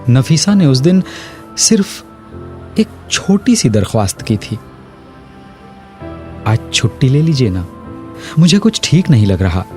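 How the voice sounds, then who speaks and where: A middle-aged professor in an audio drama, eloquent and burdened by guilt. He speaks slowly and deliberately, with wide swings of pitch and weighty pauses. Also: big pitch swings, a little mellow, faint music behind. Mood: grieving, remorseful. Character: grieving, guilt-ridden male history professor, eloquent and brooding. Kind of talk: Hindi radio drama acting.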